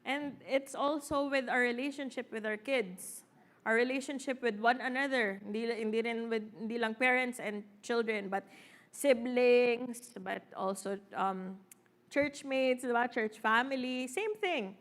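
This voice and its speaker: A woman's voice: a little high, measured pace. A woman with a Filipino accent, a parent, preaching an earnest sermon in church, mixing English and Tagalog with fillers. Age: adult parent. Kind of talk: Taglish sermon.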